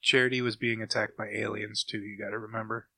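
Jokey man with a bright voice. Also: fairly low pitch, flat delivery, fast talker, vocal fry, dry recording. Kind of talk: casual chat.

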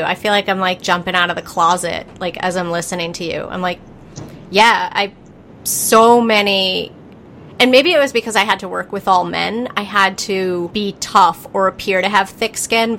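A woman's voice: medium pitch, moderate pace, sharp tone, slight background noise. A woman in her thirties hosting a podcast, telling self-mocking stories in a rambling way, full of fillers and swearing. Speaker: podcast host. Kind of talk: candid conversational storytelling.

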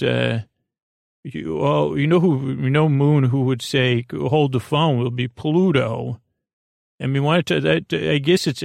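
A man hosting a sleep podcast, talking in a meandering, halting, whimsical way with a creaky voice. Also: a little high, no echo, studio quality. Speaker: podcast host. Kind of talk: rambling bedtime sleep podcast monologue.

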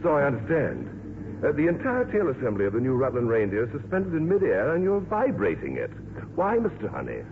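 An actor playing a dry, curious aircraft official in a 1950s radio drama, with a polite, probing manner. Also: male voice, mid-range voice, wildly swooping pitch, brisk. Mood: wry curiosity. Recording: muffled, noisy 1950s radio recording.

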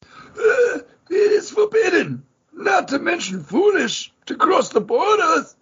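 A very high, strained little mouse from a storybook. The delivery is slow, solemn and prim, like a forbidding dramatic reading. The sound is slightly dull. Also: male voice, wildly swooping pitch, a bit bright, full character voice. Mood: solemn warning.

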